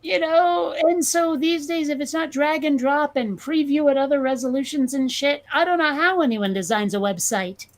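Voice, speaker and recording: A woman's voice: sits high, moderate pace, few pauses. Blunt, sarcastic woman with a New England accent. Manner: blunt, sarcastic, swears freely. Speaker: podcast co-host. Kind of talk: casual chat.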